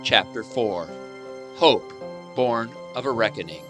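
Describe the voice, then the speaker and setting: Narrator for radio drama: man, mid-pitched voice, scripted storytelling, clear music underneath. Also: slow.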